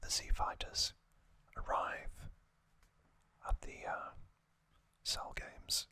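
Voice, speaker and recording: Male ASMR host, breathy whisper throughout, bright and crisp on the sibilants, unhurried, gentle trivia recap. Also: no room sound. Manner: slow, gentle delivery in short, drawn-out phrases.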